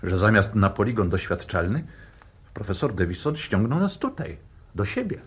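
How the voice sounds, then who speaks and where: An actor playing an uneasy, grumbling professor with a husky voice and a brooding manner in a 1960s radio drama. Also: male voice, fairly low pitch, sing-song, normal speed. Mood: suspicious. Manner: hesitant, brooding.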